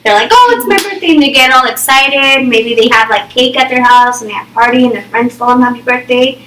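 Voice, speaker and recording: A young woman leads a small reading group through a story, thinking aloud and tagging almost every point with "okay?" or "right?". Her voice is bright and sits a little high. She is picked up from a distance in an echoey classroom. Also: brisk, a little hiss or hum. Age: young adult. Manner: checks in with "okay?" and "right?" constantly. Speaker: teacher. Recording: echoey room, far from the mic.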